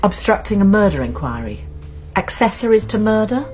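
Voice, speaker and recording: A woman's voice: mid-range voice, big pitch swings, fairly quick, a little mellow, natural voice, poor audio. Earnest, principled British woman sleuth, played by an actress. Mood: concerned, urging. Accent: British English. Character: college nurse, sensible, principled, caring woman amateur sleuth. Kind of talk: British radio drama acting.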